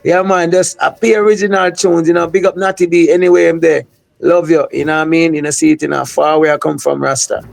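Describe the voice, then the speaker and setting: A man's voice: on the high side, level tone, quick pace, vocal fry, no room sound. A man from the United States, a reggae artist, talking casually in an interview, full of filler phrases. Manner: rambling, heavy on Jamaican-style filler phrases. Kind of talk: casual interview talk with sung snippets.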